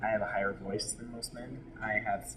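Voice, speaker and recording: A man's voice: on the low side, fairly even intonation, fairly quick, background noise. A man from Hawaii telling his personal story in an interview, frank and emotional, often restarting his sentences. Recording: loud background music.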